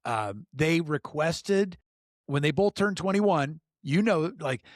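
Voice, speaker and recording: A man's voice: fairly high pitch, expressive, takes it slowly, no room sound, studio quality. Enthusiastic man hosting a podcast. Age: middle-aged. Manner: enthusiastic, laughing often, many fillers. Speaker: podcast host. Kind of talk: casual podcast chat.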